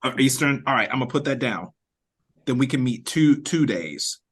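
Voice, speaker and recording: Male, mid-pitched, leading a casual online meeting; loose, halting delivery, working through thoughts as he speaks. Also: animated, fairly bright tone, slightly rough. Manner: informal, halting, thinking aloud. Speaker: writer-director leading the meeting. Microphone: video call.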